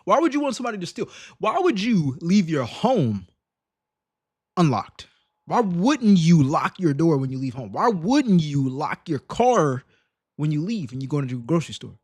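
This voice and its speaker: A man hosting a casual reaction livestream, slangy and emphatic with rhetorical questions, in a slightly raspy voice. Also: a little high, very animated, moderate pace, darkish tone, no room sound. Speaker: live stream host reacting to videos.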